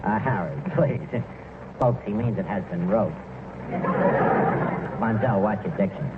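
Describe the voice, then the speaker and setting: An actor playing a pompous, malaprop tavern manager with a New York accent, in a 1940s radio comedy style. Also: male voice, fairly low pitch, a little slow, lightly acted. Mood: pompous, self-assured. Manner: mangles grammar and big words with pompous confidence. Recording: noisy, tinny 1940s radio broadcast, roomy.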